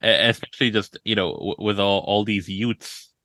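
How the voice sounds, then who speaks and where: A man speaks in a fairly low but bright, forward voice, recorded dry and close. He co-hosts casually, with enthusiastic, colloquial phrasing. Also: fairly even intonation, normal speed, no echo. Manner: enthusiastic, colloquial, addressing listeners as y'all. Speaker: podcast co-host. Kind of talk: casual chat.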